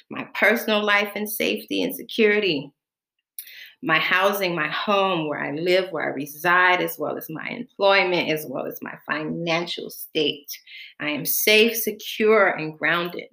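A woman from the Bahamas who grew up in Florida, hosting a chatty solo podcast with slow, deliberate spoken affirmations and a colloquial way of talking. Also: fairly low pitch, pushed and bright, roomy sound, poor audio. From born in the Bahamas, raised in Florida. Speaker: podcast host. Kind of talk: casual monologue with spoken affirmations.

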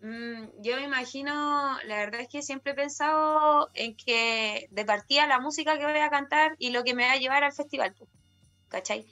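Bright, high-voiced Chilean woman. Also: moderate pace, soft background music. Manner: slangy, tags sentences with a question. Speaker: guest singer. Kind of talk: casual conversation.

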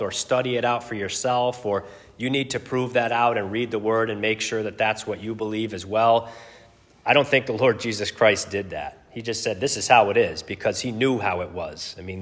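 A man with a deep, booming voice, teaching a Bible study in an informal, conversational, self-deprecating way with halting pauses and casual asides. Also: a bit bright. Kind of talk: informal expository sermon. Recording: long echoing hall reverb.

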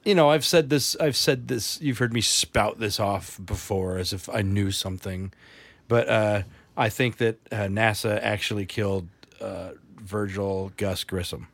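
A man's voice: fairly low pitch, fairly even intonation, creaky, no room sound. Rambling man in casual chat. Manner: rambling, halting with many restarts.

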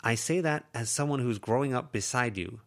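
A man's voice: mid-range voice, brisk, voice pushed up, natural voice. A man voicing an anxious, protective teenage girl who lectures her friends intensely in an audiobook. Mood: earnest lecturing. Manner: intense, lecturing, rising to shouts. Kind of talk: audiobook character reading.

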